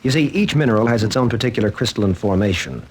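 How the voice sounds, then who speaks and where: Earnest, hesitant young-professor type. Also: male voice, lowish voice, lively intonation, slightly rough. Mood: explaining. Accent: American. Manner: halting, earnest. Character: troubled, hesitant male college teacher confiding in a friend. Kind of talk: 1940s radio drama acting.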